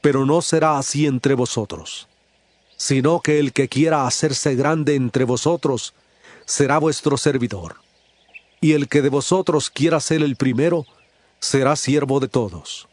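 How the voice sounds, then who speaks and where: An actor playing an authoritative male teacher addressing his disciples in a solemn, measured, declamatory way in a dramatized scripture reading. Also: mid-range voice, a little mellow, dry recording, close to an everyday voice. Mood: firm, teaching.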